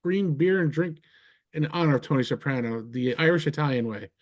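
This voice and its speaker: Blunt New guy, slightly high voice. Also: a little fast. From northern New Jersey. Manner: blunt, profane, wisecracking. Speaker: podcast guest. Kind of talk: casual podcast chat.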